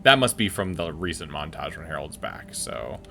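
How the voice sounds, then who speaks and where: A man hosting a gaming video with casual commentary and animated asides and reactions. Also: extremely low pitch, normal speed, gravelly, music in the background. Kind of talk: casual let's-play commentary, reading game messages aloud.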